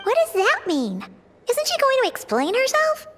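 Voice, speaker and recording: A squeaky fairy companion. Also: female voice, wildly swooping pitch, moderate pace, a bit bright, full character voice. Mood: puzzled. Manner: squeaky, chatty, easily startled. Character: tiny excitable floating fairy companion girl. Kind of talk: video game dub acting.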